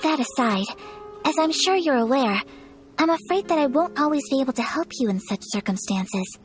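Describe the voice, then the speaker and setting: A woman's voice: high voice, lively intonation, normal speed, faint music behind. An actress playing a polite young noblewoman in a video game, speaking formally with composed courtesy. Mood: composed, firm. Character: refined, polite young noblewoman of high clan.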